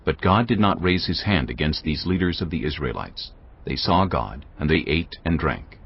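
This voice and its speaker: A man narrating scripture from a script as a measured, expressive storyteller. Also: extremely low pitch. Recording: dull studio sound over clear music. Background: music.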